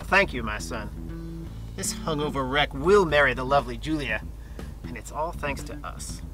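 A man's voice: medium pitch, animated, normal speed, over loud music, close to an everyday voice. An actor in his thirties playing a weary, judgmental priest in a campy game cutscene, formal and genteel in his exasperation. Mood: disapproving. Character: weary, judgmental runaway priest fretting over his duty.

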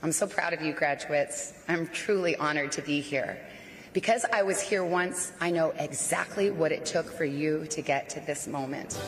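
A woman's voice: low-pitched, normal speed, big-room sound. A woman in her forties giving a commencement speech, playful and rousing, from a podium mic in a large tent. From Arizona. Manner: warm, playful, rousing oratory. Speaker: commencement speaker. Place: large outdoor tent at a graduation.

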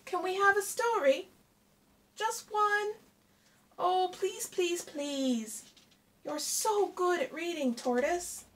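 Woman voicing an excitable, high-pitched impatient hare. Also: slow, pauses often. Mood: pleading. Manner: urgent, exclaiming, insistent. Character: impatient, excitable young hare, bossy and pleading. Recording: distant mic with background noise.

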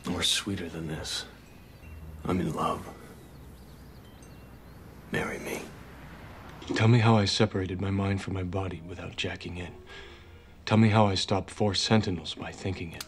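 A grown man acting in film scenes as a grim, restrained figure who speaks low and intensely, often close to a whisper. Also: fairly even intonation, unhurried, pauses often. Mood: brooding. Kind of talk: film dialogue. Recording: film soundtrack with clear background noise.

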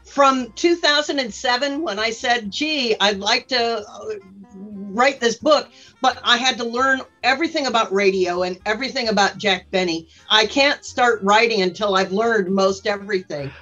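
A woman's voice: fairly high pitch, moderate pace, a bit bright, soft background music. Woman author chatting, dull lo-fi sound. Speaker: author interviewed. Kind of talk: casual interview chat. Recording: dull, band-limited sound.

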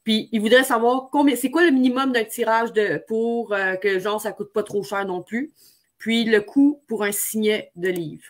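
Higher-voiced Quebec woman interviewing casually. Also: steady tone, moderate pace. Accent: Quebec French. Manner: informal, rambling questions. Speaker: live show host, interviewer. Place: indoor room, on a video call. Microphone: webcam video call.

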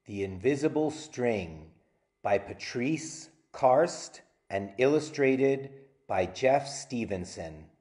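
A middle-aged man reading aloud to children as a teacher, in a playful, deliberate, expressive way. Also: medium pitch. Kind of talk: children's story time read-aloud.

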